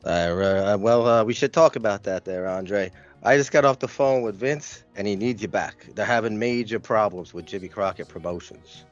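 Casting: a man as a nervous-to-please go-between, low, hesitant, faint music behind, audio comedy. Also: moderate pace, close to an everyday voice. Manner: hesitant, hemming and hawing. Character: hesitant, apologetic male wrestling boss's go-between. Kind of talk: amateur comedic audio play. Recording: muffled, music underneath.

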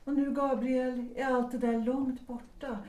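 An actress playing a composed, gently probing married woman in a period audio drama, with measured, deliberate phrasing. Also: high-pitched, flat delivery, lightly acted. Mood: reassuring. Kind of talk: stage-play audio drama acting. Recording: echoey, distant, noisy room sound.

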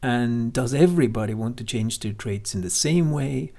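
A man hosting a podcast, speaking in a measured way and setting up questions for his guests. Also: mid-range voice, creaky, a little mellow. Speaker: podcast host.

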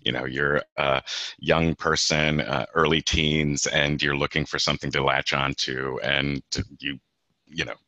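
A man's voice: a bass voice, level tone, a little slow, pushed and bright, dry recording. A man in his forties, a guest in an interview, talking casually with many fillers and restarts. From Peru. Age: 40s.

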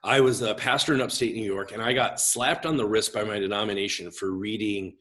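A man's voice: on the low side, fairly quick. Gregarious middle-aged male pastor. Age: 40s. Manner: gregarious and expressive, big voice. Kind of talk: casual conversational interview.

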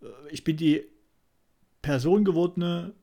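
A man from East Germany co-hosting a comedy podcast in casual banter with a deadpan delivery. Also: fairly high pitch, moderate pace, vocal fry.

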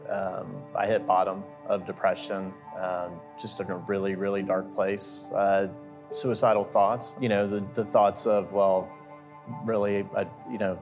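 A man from Central Florida sharing a soft-spoken, hesitant testimony. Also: deep voice. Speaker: church member giving testimony. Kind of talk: testimony interview. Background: guitar music.

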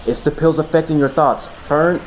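A man's voice: fairly high pitch, steady tone, moderate pace, a little hiss or hum, lightly acted. A man acting in an audio drama as a worried, earnest young boyfriend who pleads and lectures. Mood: alarmed.

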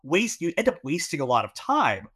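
A man's voice: fairly high pitch, huge pitch swings, normal speed, a bit bright, breathy edge. A man co-hosting a podcast, explaining his views thoughtfully in a casual conversation, with frequent fillers. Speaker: podcast co-host.

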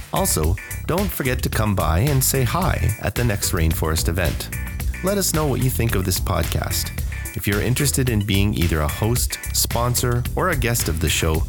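A man's voice: fairly low pitch, big pitch swings, normal speed. A man reading a scripted podcast outro and sponsor message with guitar music behind him. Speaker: podcast announcer.

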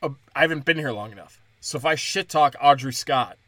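A young man, a stand-up comedian, rambling crudely and mockingly through a solo podcast, breaking into high mimicking voices. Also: brisk, a bit bright, no echo.

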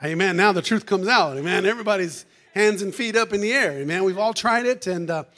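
High-voiced preacher man, going hoarse. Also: fairly quick, fairly bright tone. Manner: fervent, peppers every phrase with "amen" and "hallelujah"; calls out to the congregation. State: losing his voice. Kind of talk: sermon. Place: church sanctuary.

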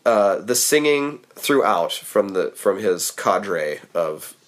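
A man hosting a casual podcast quiz, making wry, dry jokes and trailing off quietly at the ends of thoughts. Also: on the low side, sing-song, takes it slowly. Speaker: podcast host running a quiz game. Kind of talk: casual chat.

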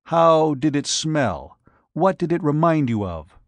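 A man voicing a calm, emotionless doctor who asks short, quiet questions. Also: a little high, slow pace, no room sound, voice pushed up, exaggerated voice. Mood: probing. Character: calm, cold male doctor-investigator.

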